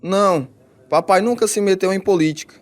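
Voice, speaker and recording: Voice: mid-range voice, brisk, natural voice. A performer acting a worried, loyal son of a jailed man in a radio drama, speaking urgently. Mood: worried, indignant. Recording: loud guitar music over the voice.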